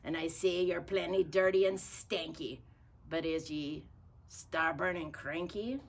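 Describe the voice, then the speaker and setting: A woman voicing a blustery, impatient male captain in a children's storybook, declaiming and shouting in slang. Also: lowish voice, steady tone, a little slow, vocal fry. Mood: sizing up. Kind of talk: children's storybook read-aloud.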